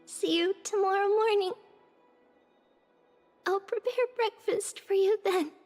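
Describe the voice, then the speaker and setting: A woman voicing a small, gentle, childlike female companion in a video game, speaking tenderly. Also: very high-pitched, steady tone, slow, in a large hall, soft background music. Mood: soft, wistful. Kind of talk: scripted game voice acting.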